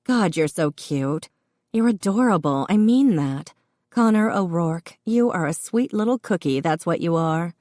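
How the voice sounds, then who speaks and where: A woman voicing a heavily pregnant woman who teases her brother in quick, exclamatory bursts. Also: lowish voice, animated, darkish tone, no room sound, clean studio sound. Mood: chatty. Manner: teasing, exclamatory. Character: pregnant adult woman, teasing, blunt older sister.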